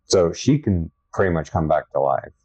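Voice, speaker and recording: A young man with a low voice co-hosting a casual podcast chat, an enthusiastic horror fan who rambles a little. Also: moderate pace, a little mellow, breathy edge, no room sound. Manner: enthusiastic, self-described horror fanatic, rambling. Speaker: podcast co-host. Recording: dry, dull lo-fi sound.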